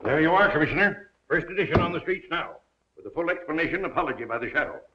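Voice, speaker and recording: A man's voice: fairly high pitch, lively intonation, fast talker, pushed and bright. A man playing an anxious, emphatic newspaper boss in the style of 1930s film acting. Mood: placating. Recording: dull lo-fi 1930s film soundtrack.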